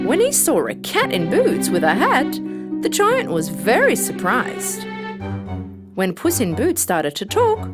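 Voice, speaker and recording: A woman narrating a children's fairy tale from a script in a dramatic, expressive storytelling manner. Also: fairly high pitch. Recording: music bed behind voice.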